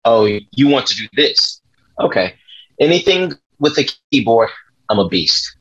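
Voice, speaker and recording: A man acts a defiant young jazz pianist in a table read. His voice is husky and firm, coming through slightly dull video-call audio. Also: medium pitch, big pitch swings, unhurried, a bit bright, heavily acted. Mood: cocky. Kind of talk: script table read acting. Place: small room at home, on video call.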